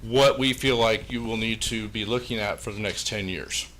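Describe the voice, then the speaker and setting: Booming man presenting. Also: fairly even intonation, moderate pace, fairly bright tone, a little hiss or hum, low-quality recording. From Pulaski County, Virginia, USA. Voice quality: big booming voice. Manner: folksy, frequent "uh" fillers. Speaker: engineering firm presenter. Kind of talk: presentation to a school board. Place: public meeting room. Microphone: meeting room microphone.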